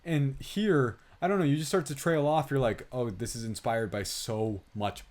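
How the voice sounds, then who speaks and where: A man's voice: medium pitch, normal speed, a little mellow. A man co-hosting a film review podcast in casual chat, opinionated, swearing freely and acting out reactions as he goes. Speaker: podcast co-host reviewing films.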